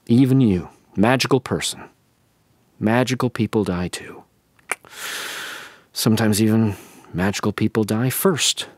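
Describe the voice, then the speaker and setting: An actor playing a wistful middle-aged husband, talking softly and haltingly at a funeral in a radio play. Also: male voice, on the low side, no room sound. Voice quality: soft, halting, musing. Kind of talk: radio drama monologue.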